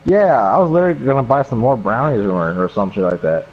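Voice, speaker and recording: A man's voice: medium pitch, expressive, quick pace. A young American man casually telling an anecdote to a friend in a slangy, sweary, rambling way. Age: young adult. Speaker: storyteller. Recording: dull lo-fi mic, faint background noise.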